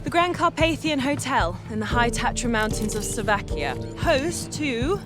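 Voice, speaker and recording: An actress playing an efficient spy mission briefer in a video game, formal, measured and precise. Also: female voice, a little high, very animated. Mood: composed, serious. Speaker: briefing officer. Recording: music behind the voice.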